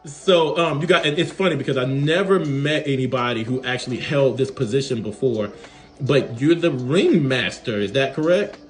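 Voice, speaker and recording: A man's voice: medium pitch, normal speed, faint music behind. Easygoing male interview host. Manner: friendly, easygoing, lots of okays and fillers. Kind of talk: casual interview hosting.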